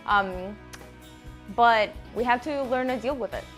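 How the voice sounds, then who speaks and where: A woman's voice: fairly high pitch, a little slow, fairly bright tone, faint music behind. A woman from Mexico, an international student, speaking earnestly in plain sentences. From Monterrey, Mexico.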